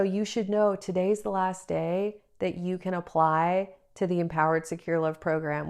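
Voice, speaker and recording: A woman's voice: fairly low pitch, smooth. A woman in her thirties hosting a solo advice podcast, a little congested from a cold, talking in an earnest, encouraging way on a travel mic. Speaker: podcast host. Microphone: travel microphone, not her usual one.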